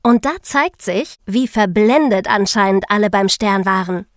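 A woman's voice: medium pitch, normal speed, no echo, natural voice. An actress as a young female true-crime explainer, dry sound. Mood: explanatory. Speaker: podcast co-host telling true-crime history. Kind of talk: scripted conversational narration.

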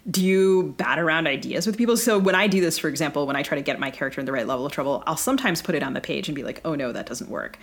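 Female podcast co-host, distinctly low voice, casual bookish interview style, builds up long winding questions. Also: very fast, few pauses.

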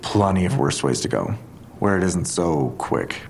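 Male detective, very low voice, deliberate and analytical, audio drama, faint rumble behind. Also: very animated, gravelly, full character voice. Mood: measured, speculative.

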